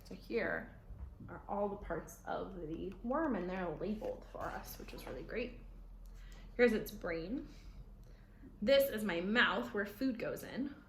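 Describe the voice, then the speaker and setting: A woman's voice: medium pitch, animated, a little slow, fairly bright tone. A woman reading a picture book aloud for children, adding informal explanations as she goes. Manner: child-directed, informal commentary. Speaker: children's read-aloud reader. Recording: roomy echo, faint background noise.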